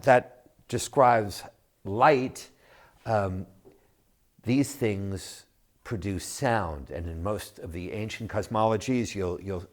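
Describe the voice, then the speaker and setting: A man presenting his company's product in an unscripted way, speaking in a measured, halting manner with deliberate pauses and emphasis. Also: lowish voice.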